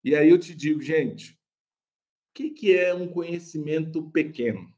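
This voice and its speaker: A young adult man with a Brazilian Portuguese accent, a pastor teaching a live online class on preaching in an animated, chatty way, laughing often and calling viewers "gente". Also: fairly high pitch, unhurried.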